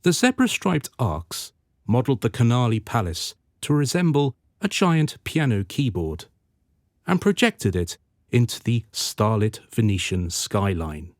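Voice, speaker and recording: A man's voice: medium pitch, sing-song, unhurried, no room sound, studio quality. A man narrating a radio drama as a solemn storyteller, in a literary, dramatic manner. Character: solemn, florid storyteller of a Venetian tale.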